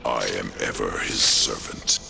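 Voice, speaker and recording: An actor playing a solemn, haughty undead lord of the dead in a video game, with a gravelly, imperious, theatrical delivery. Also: male voice, a bass voice, steady tone, unhurried, full character voice. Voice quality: gravelly, creaky. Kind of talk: video game cutscene acting. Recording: loud cinematic music behind.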